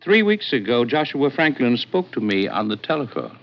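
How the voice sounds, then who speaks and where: A man's voice: medium pitch, sing-song, normal speed, creaky. An actor playing a nervous, earnest attorney in a radio drama, hesitant and formal in his speech. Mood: anxious, insistent. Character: tall, thin, nervous, earnest male attorney client. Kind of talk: 1950s radio drama acting. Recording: muffled vintage radio recording.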